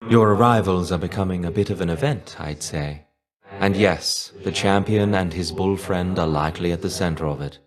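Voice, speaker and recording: A man playing a melancholy, guarded fallen warrior in a video game, speaking in measured, understated, old-fashioned formal phrases with a wry edge. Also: low voice, close to an everyday voice. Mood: dry, wry. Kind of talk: video game character voice acting.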